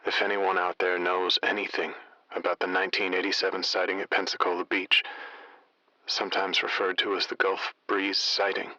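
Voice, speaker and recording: An actor playing a theatrical late-night local radio host in an audio drama, telling conspiracy lore in deliberate, wryly florid phrases. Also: male voice, sits low, monotone, pushed and bright, no echo, highs cut off. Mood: solemn appeal.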